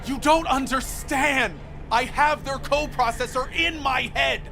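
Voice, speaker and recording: High-pitched frantic street prophet. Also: male voice, unhurried, creaky, over music, heavily acted. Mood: manic insistence. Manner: urgent, grandiose, preachy. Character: frantic paranoid street prophet, a male conspiracy ranter. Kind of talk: video game voice acting.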